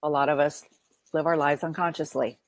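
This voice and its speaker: Woman, counselor, interview guest, low pitch, earnest, repeats phrases, dry close sound. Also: steady tone, fairly quick, no room sound. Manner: earnest, repeats phrases while building a point. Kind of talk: podcast interview.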